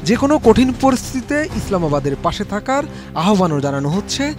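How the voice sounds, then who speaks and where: A high-pitched man with a Bangladeshi accent narrates a scripted news report. He speaks as a reporter, with clear background music playing under the voice. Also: sing-song, quick pace.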